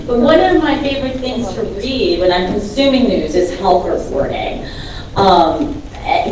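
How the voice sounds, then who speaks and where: A woman presenting awards at a banquet, reading winners and adding chatty asides in a loud voice. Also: fairly low pitch. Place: large banquet hall. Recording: echoey hall from far off, noisy.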